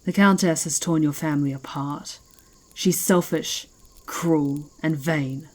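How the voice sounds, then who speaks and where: A woman's voice: sits low, fairly even intonation, takes it slowly. A woman playing a proud, menacing werewolf who leads an ancient pack, speaking with haughty deliberation in an ASMR fantasy roleplay. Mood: bitter.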